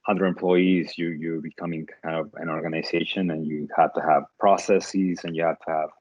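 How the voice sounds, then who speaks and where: A man's voice: rumbling low, little pitch movement, normal speed, darkish tone, no room sound, lo-fi sound. A man in his thirties from the Dominican Republic, a startup CEO answering interview questions thoughtfully, with many fillers and restarts.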